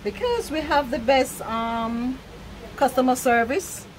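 A woman's voice: high voice, slow pace. A middle-aged woman with a Jamaican accent, a shopkeeper giving brief, plain-spoken answers in a casual interview. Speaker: gift shop owner being interviewed. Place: small crowded souvenir shop. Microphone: camera or phone a short way off. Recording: noisy shop recording with traffic hum. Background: clear street and ambient noise.

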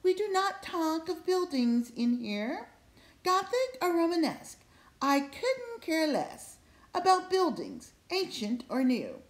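A stern schoolteacher from a children's storybook, put on by a woman reading aloud. She is high and very animated, scolding with theatrical emphasis in a roomy space. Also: slow pace, heavily acted. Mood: stern, dismissive. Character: strict, shrill, scolding second-grade female teacher.

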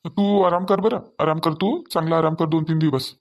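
A man's voice: fairly high pitch, fairly even intonation, natural voice. A man voicing an earnest, anxious young grown son in a family cartoon, repeating himself, with a Varhadi Marathi accent. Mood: concerned. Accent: Varhadi Marathi of Vidarbha. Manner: earnest, hurried, repetitive.

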